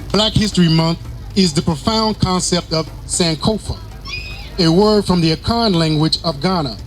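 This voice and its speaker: A man's voice: sits high, poor audio. A man delivering a formal scripted speech as an event speaker, oratorical but occasionally stumbling and repeating words.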